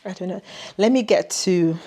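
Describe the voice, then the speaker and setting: A woman's voice: medium pitch, moderate pace, warm tone. A woman from Nigeria chatting casually to her vlog viewers in an exclamatory, conversational way. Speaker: vlogger. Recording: clear ambient and vehicle noise.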